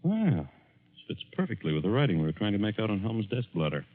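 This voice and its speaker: An actor playing a brisk, authoritative police lieutenant with clipped speech in a 1940s radio crime drama. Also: male voice, fairly low pitch, very animated. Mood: brisk, probing. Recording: dull 1940s radio broadcast.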